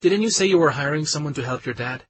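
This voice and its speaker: A man voicing a brisk, ambitious female executive colleague who talks in a pushy, demanding way. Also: low voice, expressive, slight background noise, pitched above the performer's own voice. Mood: suspicious.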